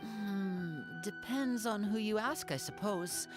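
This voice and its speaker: A woman's voice: mid-range voice, slow, close to an everyday voice. An actress playing a cool, level-headed young woman investigator with a dry, thoughtful manner. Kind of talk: video game voice acting. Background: game music.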